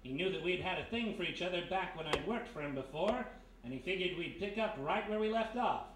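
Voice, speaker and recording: Picture a brash private eye from a vintage radio mystery played by a man, slangy and sure of himself as he lays out the case. His voice sits high for a man, and the live stage recording is roomy with a faint noise underneath. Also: animated, fairly quick. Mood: confident, sardonic. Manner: wisecracking, slangy, explaining confidently. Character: brash American private detective, reformed thief, laying out a case. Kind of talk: 1930s-style detective radio play acting before a live audience. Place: live performance in a historic house.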